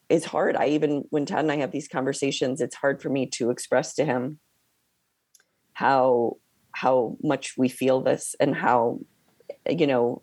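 Hoarse woman, strained voice, halting casual chat, dry close mic. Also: extremely low pitch, dark tone, no echo. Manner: halting, hesitant with many pauses. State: hoarse, losing her voice. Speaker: podcast co-host. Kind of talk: casual conversation.

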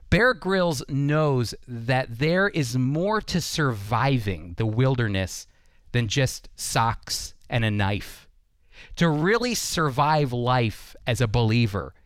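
A man's voice: medium pitch, clean tone, dry recording, studio quality. A man hosting a radio program, delivering scripted Bible teaching and commentary.

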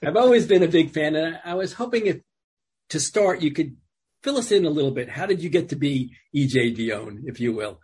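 Husky-voiced male podcast host. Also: on the high side, animated, a little fast, a little mellow. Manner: friendly, reminiscing questioner. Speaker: host, interviewer. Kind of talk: conversational interview.

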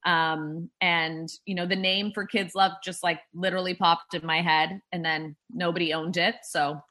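A woman's voice: lowish voice, level tone, moderate pace, pushed and bright. A woman who founded a drink startup, talking in a casual interview, rambling with many fillers and restarts.

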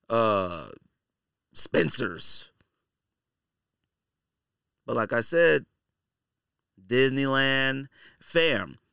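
A man's voice: medium pitch, pushed and bright, clear, resonant tone, no room sound, studio quality. An American man hosting a podcast solo, talking casually in a slangy, conversational, emphatic way. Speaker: sneaker podcast host.

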